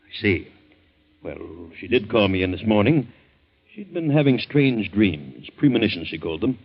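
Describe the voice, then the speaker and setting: An actor playing a measured family doctor in a 1940s radio drama, speaking in a hesitant, deliberate way. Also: male voice, lowish voice, big pitch swings, vocal fry, breathy edge, full character voice. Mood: grave, reflective. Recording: dull vintage 1940s radio recording.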